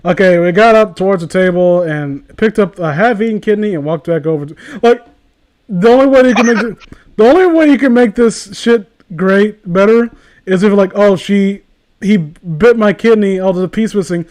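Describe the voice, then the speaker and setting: A man with a noticeably high voice talks as a co-host in a casual comedy read-along. He is sarcastic and swears freely, breaking off from the text to riff on it. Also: moderate pace, darkish tone. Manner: sarcastic, profane. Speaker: co-host reading and mocking fan fiction.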